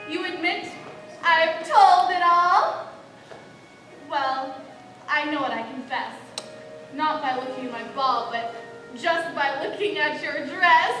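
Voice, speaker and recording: A woman on stage playing a showy, teasing trickster, reciting comic verse in a deliberate, declamatory way. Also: high-pitched, sharp tone, heavily acted. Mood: mysterious, teasing. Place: large hall, school stage play. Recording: echoey hall from far off, crowd and music.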